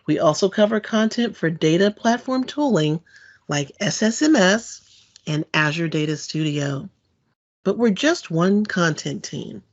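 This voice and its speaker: A woman giving a scripted technical presentation in an explanatory, clearly phrased, read-out manner. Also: lowish voice, dull audio. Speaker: presenter, content developer.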